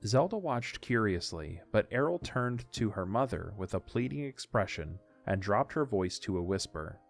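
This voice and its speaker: A man's voice: lowish voice, big pitch swings, moderate pace, creaky, soft background music. A man narrating an audiodrama as scripted story narration.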